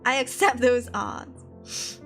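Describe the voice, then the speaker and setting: A woman's voice: on the high side, slow pace, a bit bright, faint music behind. Giggly young woman streamer. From Malaysia. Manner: chatty, laughs often, frequent fillers. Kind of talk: casual chat reading viewer comments.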